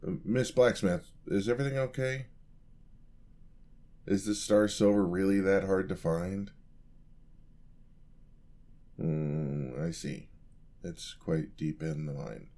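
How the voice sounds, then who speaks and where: A man plays a young apprentice paladin in a fantasy audio roleplay. His voice is low and he speaks slowly, with a polite, eager and slightly clumsy charm. He chats hesitantly and gets flustered easily as he addresses the listener. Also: dark tone. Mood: curious, polite.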